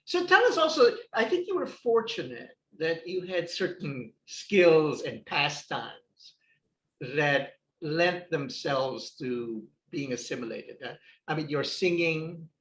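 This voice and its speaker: A man's voice: on the high side, big pitch swings, slow, a bit bright, roomy sound, low-quality recording. A male podcast host asking questions in a chatty way, with frequent restarts. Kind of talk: interview questions. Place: Hong Kong.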